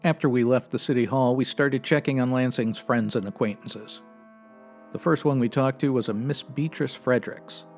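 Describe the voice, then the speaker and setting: Male actor, by-the-book old-time radio detective, man, deadpan, clipped, formal, medium pitch, faint music. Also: moderate pace, lightly acted. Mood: matter-of-fact. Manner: deadpan, formal, matter-of-fact. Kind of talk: 1950s radio detective drama parody, clipped narration.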